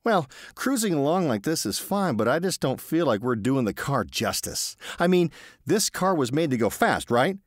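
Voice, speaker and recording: A man voicing himself as a reckless, cocky male thrill-seeker who talks brashly and excitably. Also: on the high side, normal speed, no echo, studio quality, voice pushed up. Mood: coaxing.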